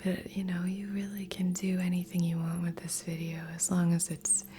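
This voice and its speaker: A woman speaking in a soft, breathy whisper as a gentle, soothing host of an ASMR guided meditation. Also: lowish voice, completely flat delivery, moderate pace. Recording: soft music under voice. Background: background music.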